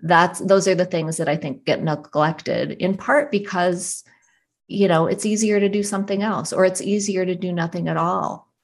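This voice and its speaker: Woman with a deep voice, unhurried coaching tone, reassuring and thoughtful. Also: fairly even intonation. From US desert region. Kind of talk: casual advice conversation.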